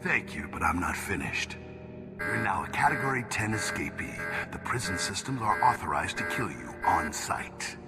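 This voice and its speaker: A man's voice: deep voice, very animated, moderate pace. An actor playing a smug, theatrical military commander villain who taunts his prey in a video game cutscene. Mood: menacing, gloating. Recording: music behind the voice.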